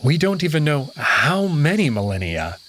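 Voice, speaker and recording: A man's voice: on the high side, very animated, normal speed, fairly bright tone, slight background noise. A man giving reflective first-person narration of a visual novel, voicing its lead character.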